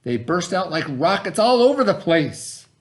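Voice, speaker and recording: A man reading a rhyming children's story aloud in a theatrical, expressive way, with dramatic pauses. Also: high-pitched. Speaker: storybook reader.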